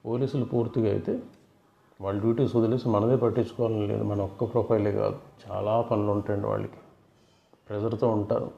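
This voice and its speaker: Low, gravelly man, roomy sound. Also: little pitch movement, fast, dull audio. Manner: repeats and rephrases points, addresses viewers as friends. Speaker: video host giving fraud awareness advice. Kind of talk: casual explanatory storytelling to viewers.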